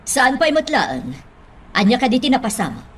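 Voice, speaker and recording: A woman's voice: high-pitched, sing-song, moderate pace, a little hiss or hum. A woman acting expressively in an Ilocano radio drama. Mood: concerned. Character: female household figures and a demanding town mayor.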